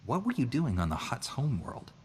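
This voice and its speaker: An actor playing a stoic Jedi hero who answers with dry, deadpan remarks in a video game. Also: male voice, medium pitch, fairly quick, big-room sound, a little hiss or hum. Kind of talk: video game dialogue acting.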